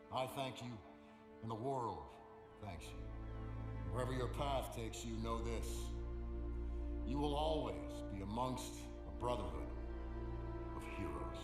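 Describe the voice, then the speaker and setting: An actor playing a grave military leader, thanking soldiers in a formal, measured ceremonial speech for a war game. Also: male voice, medium pitch. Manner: stately, measured. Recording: roomy echo with cinematic music behind.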